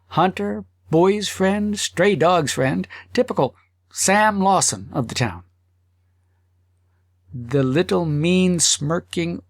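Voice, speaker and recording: Higher-voiced man narrating an audiobook, dry sound. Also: no room sound. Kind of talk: literary audiobook narration of a humorous story.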